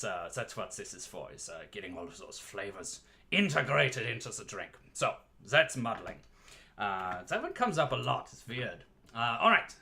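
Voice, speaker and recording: A man with a very bright, forceful voice at a middling pitch hosts a casual livestream. He talks like a playful showman bartender, mixing relaxed chat with theatrical flourishes. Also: gravelly, a little hiss or hum. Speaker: livestream host mixing cocktails as "dungeon barkeep".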